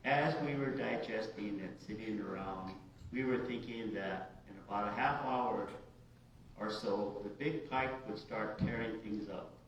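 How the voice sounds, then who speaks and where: A man from the United States, a writer reading his own short story aloud in a halting, informal way. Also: fairly low pitch. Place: large echoey indoor room at a live reading. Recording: very echoey room, distant dull mic, hiss.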